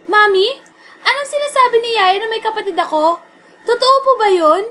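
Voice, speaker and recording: A woman's voice: extremely high pitch, expressive, normal speed, heavy background noise. A woman acting a spoiled, bratty young daughter in an amateur comedic radio drama, with a demanding, whiny manner. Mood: upset, whining. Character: spoiled, bratty young daughter who bullies the household maids.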